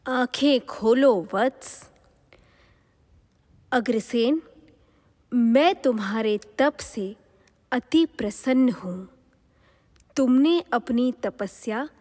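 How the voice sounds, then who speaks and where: Lady as a kindly mother goddess, stately, high-ish voice, very unhurried, swimming in reverb. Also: a little mellow, heavily acted. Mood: serene, pleased. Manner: serene, stately, blessing. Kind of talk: devotional mythological audio drama acting. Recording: long reverberant hall echo.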